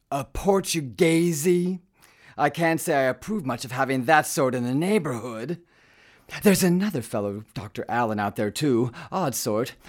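A man's voice: on the high side, heavily acted. A man playing a gossipy, disapproving country neighbor in a radio drama, folksy and opinionated. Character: grumbling, gossipy, disapproving male rural neighbor with gout.

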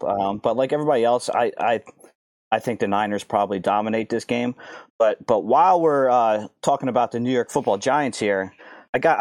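Male betting panelist, average pitch, casual and slangy, close dry sound. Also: darkish tone, no echo. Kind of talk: casual chat.

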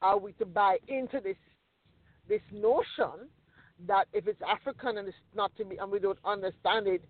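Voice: mid-range voice, normal speed. A podcast host from the Caribbean who talks earnestly and reflectively in a casual discussion, often checking in with "you know" and "okay?". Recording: tinny phone-line sound, dry.

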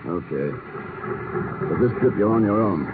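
An actor playing a cool, sardonic secret agent in a 1950s radio drama, dry and unflappable. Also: male voice, on the low side, lively intonation, moderate pace, close to an everyday voice. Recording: tinny, noisy old radio broadcast.

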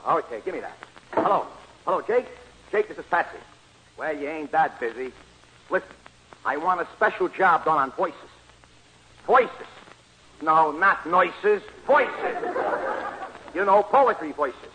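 An actor playing a bossy, slangy New York horse-betting gambler in a 1940s radio comedy, talking fast and ungrammatically. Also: male voice, on the high side, expressive, noisy background. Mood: excited, pushy. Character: brash, bossy American horse-betting gambler, sentimental, uneducated. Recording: old 1940s radio broadcast recording.

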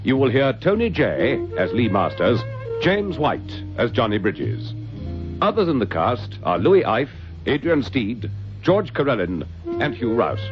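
A man announces in a formal, deliberate 1960s radio manner with very animated, dramatic intonation. Loud music plays behind him. The old recording sounds dull. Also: medium pitch. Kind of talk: scripted 1960s radio sponsor introduction. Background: loud music with guitar.

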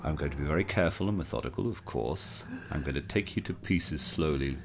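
A man voicing an aging, wealthy occult murderer who talks slowly and cruelly, relishing every word. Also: very deep voice, gravelly, a little hiss or hum. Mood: sinister hushed. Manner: slow, relishing, sinister; laughs. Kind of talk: audio drama acting. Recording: music bed under voice.

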